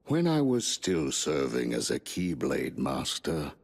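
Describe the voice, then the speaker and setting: An actor playing a stern, wise old sorcerer in a video game, grave, formal and deliberate. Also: male voice, a bass voice, huge pitch swings, creaky, slightly rough, exaggerated voice.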